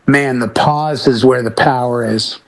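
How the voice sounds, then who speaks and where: A man's voice: mid-range voice, steady tone, unhurried. A middle-aged man chatting casually on a panel as a DJ, speaking emphatically and repeating words to drive points home. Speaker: talk show panelist, professional DJ.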